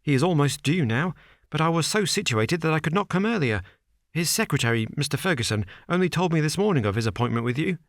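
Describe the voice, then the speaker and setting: A man's voice: fairly high pitch, lively intonation, fast, no room sound, clean studio sound, pitched above the performer's own voice. A man voicing a nervous, frightened estate manager who gasps out short, agitated sentences in a raised voice. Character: frightened, breathless male estate manager, middle-aged.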